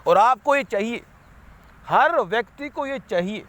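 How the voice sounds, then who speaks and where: A man's voice: very high voice, lively intonation, unhurried, fairly bright tone. A man giving an unscripted spiritual talk, rambling and repeating himself, slipping English words into his sentences. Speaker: spiritual speaker.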